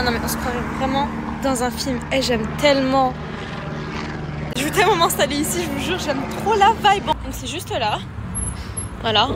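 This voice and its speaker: Gushing young woman vlogging outdoors. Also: high voice. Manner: chatty, gushing, repeats "trop" often. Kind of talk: casual vlog chat to camera. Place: outdoors on city streets, then inside a salon. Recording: clear background noise.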